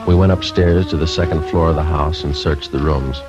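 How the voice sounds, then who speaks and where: An American man playing a terse police detective who narrates a 1950s radio drama in a clipped, deadpan way. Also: a bass voice, brisk. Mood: matter-of-fact narration. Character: terse, businesslike male police detective sergeant. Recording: dull 1950s radio recording with music.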